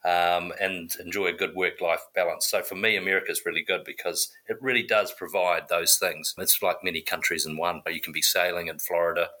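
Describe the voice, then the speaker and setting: A man's voice: low voice, fairly even intonation, brisk, hardly any pauses, pushed and bright. A man from New Zealand who grew up in South Africa, a corporate pilot answering interview questions conversationally. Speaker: corporate pilot, podcast guest.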